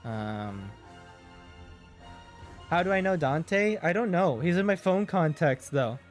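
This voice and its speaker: A man with a deep voice chatting casually with his stream viewers, joking and reading their messages aloud. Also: lively intonation, slow pace, soft background music.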